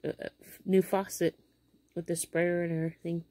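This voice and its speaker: A woman's voice: lowish voice, level tone, normal speed, warm tone, dry recording. A woman in her fifties with a Midwestern American accent, telling a rambling everyday story in a home vlog, full of fillers and restarts, filmed on a phone held close in a small bedroom. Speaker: vlogger. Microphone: phone camera held close below face.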